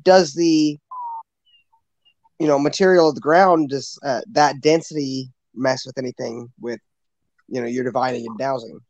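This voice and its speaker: A man's voice: medium pitch, fairly even intonation, normal speed, a little mellow, dry recording, poor audio. A man hosting a podcast, asking questions in a curious, hesitant way with lots of fillers. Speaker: podcast host. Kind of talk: casual interview chat.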